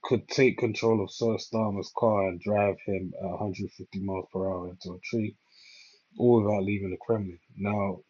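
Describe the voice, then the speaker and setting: A man's voice: fairly low pitch, fairly even intonation, moderate pace, warm tone, slightly rough. A man giving informal news commentary, reading items aloud and reacting in a rambling way with preacher-like asides. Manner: rambling, preacher-like asides, repeatedly checks with "all right". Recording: dull lo-fi microphone.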